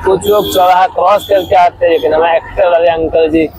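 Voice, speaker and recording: Young man, motorbike vlogger, high voice, chatty and mocking, loud traffic noise. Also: steady tone, fairly quick. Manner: chatty, mocking other drivers. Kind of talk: casual ride commentary.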